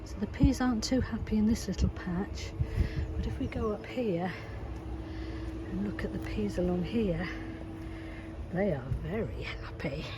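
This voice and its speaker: A woman, chatting unhurriedly about her vegetable plot while walking it, sounding snuffly from hay fever. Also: mid-range voice. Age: 60s. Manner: chatty, unhurried, self-deprecating. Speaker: gardening vlogger. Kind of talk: casual walk-and-talk commentary. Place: sloping vegetable plot outdoors. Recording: loud outdoor background noise.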